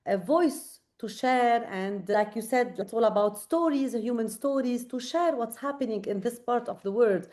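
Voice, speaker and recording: A woman's voice: fairly high pitch, moderate pace, hardly any pauses, a little mellow. A tired woman from Lebanon with a Lebanese accent, a guest on a late-night video call, speaking earnestly and reflectively with many fillers. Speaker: guest, creative director.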